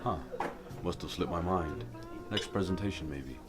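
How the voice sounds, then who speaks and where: Male lead for audio drama: actor as brooding, authoritative billionaire vigilante, deep voice, deliberate and grave. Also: over loud music, natural voice. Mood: dry. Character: solemn, commanding male billionaire secretly a vigilante. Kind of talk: audio drama acting, measured speechmaking.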